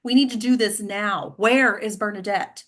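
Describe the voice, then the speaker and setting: A woman's voice: mid-range voice, fairly even intonation, normal speed, fairly bright tone, close to an everyday voice. A woman acting a bossy, frantic political aide in a comedy table read, commanding and exasperated. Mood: urgent, scrambling.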